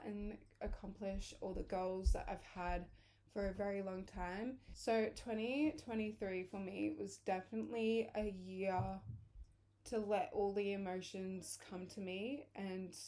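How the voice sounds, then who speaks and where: Young woman, early twenties, casual vlog chat, slightly hoarse, rambling and self-correcting. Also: mid-range voice, warm tone. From England. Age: early 20s. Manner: chatty, rambling, corrects herself. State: hoarse, losing her voice. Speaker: vlogger.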